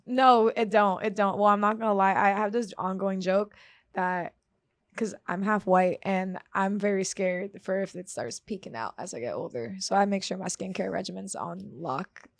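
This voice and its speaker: A woman's voice: medium pitch, normal speed, dry recording, studio quality. Chatty laughing woman in her twenties. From Germany. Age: 20s. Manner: chatty, laughs often, self-deprecating. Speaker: guest. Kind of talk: casual interview chat.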